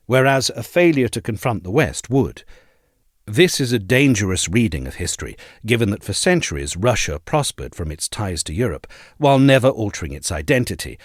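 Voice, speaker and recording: Male essay narrator, lowish and expressive, formal and articulate delivery, close dry studio sound. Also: no room sound. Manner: measured, articulate, formal reading.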